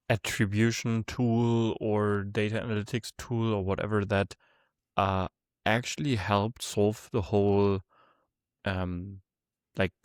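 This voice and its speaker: A man hosting a conversational interview, picking his words haltingly as he forms questions. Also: lowish voice, smooth, dry recording, clean studio sound. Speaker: podcast interviewer.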